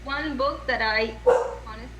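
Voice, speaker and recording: A woman's voice: fairly high pitch, moderate pace, very noisy. A woman from Venezuela with a Venezuelan Spanish accent, speaking as a podcast guest in an earnest, word-searching way. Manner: earnest, repeats and restarts phrases while searching for words. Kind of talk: conversational interview.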